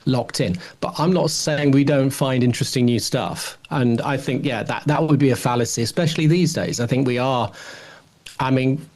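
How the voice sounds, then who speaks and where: A man's voice: medium pitch, moderate pace, a little mellow. Man thinking aloud in casual podcast chat. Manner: opinionated, thinking aloud with false starts. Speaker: podcast co-host. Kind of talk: casual rambling discussion.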